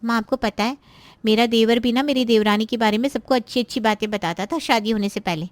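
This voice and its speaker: A scheming married daughter from a cartoon family drama, acted by a woman, pitched fairly high. She nags, complains and insinuates with open spite. The sound is close and dry, with no room around it. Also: brisk, dry recording, close to an everyday voice. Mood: gossipy, warning. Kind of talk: Hindi moral-story cartoon voice acting.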